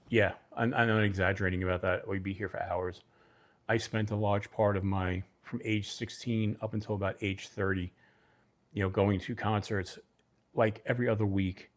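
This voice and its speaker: A middle-aged man from Brooklyn hosts a casual livestream. He has a fairly low voice and a mixed New York and New Jersey accent. He rambles loosely and talks to viewers by name. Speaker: livestream host.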